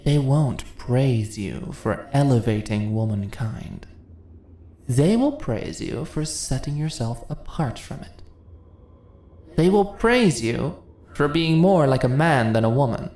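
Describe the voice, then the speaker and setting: A woman playing an ancient, seductive goddess in an ASMR fantasy roleplay, speaking in a theatrical, deliberate, condescending yet coaxing manner. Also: very deep voice, lively intonation, dark tone, heavily acted. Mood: stern, persuasive. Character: ancient seductive goddess, imperious, teasing, persuasive. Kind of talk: ASMR fantasy roleplay, intimate dramatic monologue. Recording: roomy echo, audible ambient noise.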